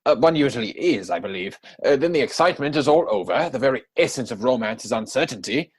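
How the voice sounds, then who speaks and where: A man acting a witty, idle young gentleman from a period comedy. His voice is slightly husky and swings widely in pitch, with arch, playful self-satisfaction in a theatrical reading. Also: expressive, fast. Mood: witty, pompous. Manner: mannered, arch, theatrical delivery.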